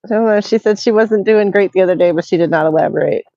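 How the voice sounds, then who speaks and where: A woman's voice: medium pitch, quick pace, vocal fry, dark tone, no echo, highs cut off. A middle-aged woman hosting a casual call, chatty and tired from being sick, reading chat messages aloud. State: tired, recovering from illness. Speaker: channel host.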